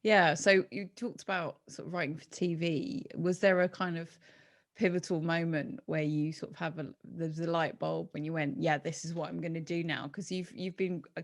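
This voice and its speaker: Female host, gravelly creak in a lower register, casual and encouraging in interview. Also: steady tone, normal speed. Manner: encouraging, loosely phrased questions.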